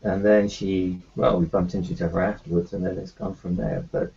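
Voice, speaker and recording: A man playing a doctor in a tabletop roleplaying game, speaking haltingly with many ums and restarts. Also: low-pitched, sing-song, creaky, dark tone. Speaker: tabletop player. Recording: muffled lo-fi recording.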